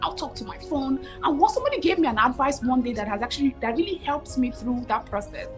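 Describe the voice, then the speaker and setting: A woman in her thirties with a Nigerian accent, telling her story as a guest over a video call, expressive and repeating phrases for emphasis. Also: sits high, quick pace, faint music behind. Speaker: guest, cooking show host. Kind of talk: animated storytelling interview. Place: home room with curtains, video call.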